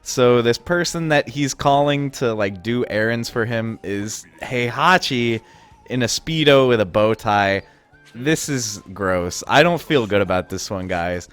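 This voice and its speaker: A man's voice: medium pitch, expressive, unhurried, a bit bright, smooth, soft background music. A young man giving casual, wry commentary over game footage, amused and often laughing at the absurd moments. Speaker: gaming video host.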